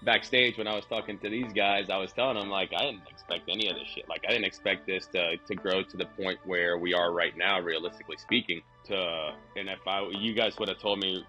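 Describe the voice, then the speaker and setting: A man's voice: deep voice, fairly even intonation, a little fast, few pauses, sharp tone, highs cut off, faint music behind. A man from Venezuela with a Venezuelan Spanish accent, a guest talking casually on a podcast and rambling with lots of fillers and restarts. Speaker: guest, truck dealership owner.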